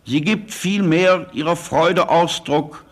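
A man's voice: a little high, slow pace. A man delivering a stately, formal political radio address in a 1940s style.

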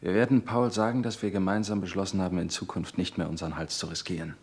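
A firm, careful group leader. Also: male voice, sits low, steady tone, darkish tone. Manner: firm and measured. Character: calm, cautious leader of a resistance group. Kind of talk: radio play acting.

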